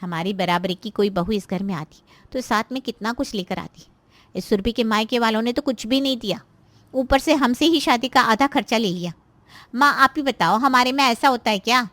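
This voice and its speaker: A woman's voice: on the high side, moderate pace, fairly bright tone, no echo, close to an everyday voice. A woman playing a scheming, spiteful married daughter in a cartoon moral story, with a nagging, insinuating and complaining manner. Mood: complaining, indignant.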